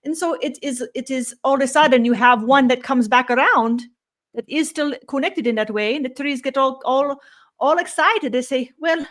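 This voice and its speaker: A woman voicing a benevolent collective spirit guide who speaks as "we", humming "mm" and trailing off into soft murmured "you see". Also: high-pitched. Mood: serene. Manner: measured, gently instructive. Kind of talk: channeled spiritual session.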